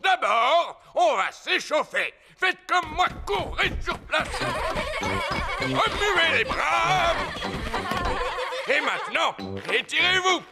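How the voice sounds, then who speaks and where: Boisterous high-pitched old grandpa rabbit. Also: male voice, sing-song, takes it slowly, creaky, loud music behind the voice, exaggerated voice. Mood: hearty, commanding. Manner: booming, theatrical, exclaiming. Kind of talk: French cartoon dub acting.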